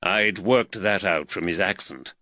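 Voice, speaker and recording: A man reading an audiobook voices a calm, dry-witted male detective in a plain, measured voice close to his own. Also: sits low, no echo.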